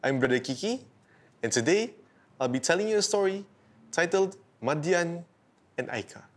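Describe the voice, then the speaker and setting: A man hosting and narrating a scripted bedtime story for children in a deliberate, expressive storytelling style. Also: on the high side. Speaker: storyteller host.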